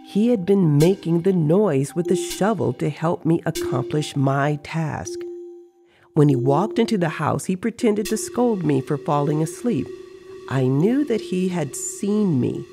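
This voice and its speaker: A man reading the part of an aging sorcerer who recounts his dream journeys in a measured, reflective way in an audio story. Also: a little high, expressive, music in the background, pitched above the performer's own voice. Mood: reflective, assured.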